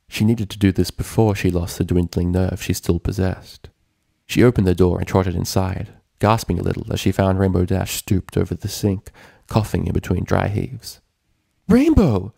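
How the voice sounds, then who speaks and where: A man's voice: deep voice, creaky, mellow, slightly rough, no echo, clean studio sound. A man reading a story aloud as scripted narration. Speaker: fan fiction reader, narrator.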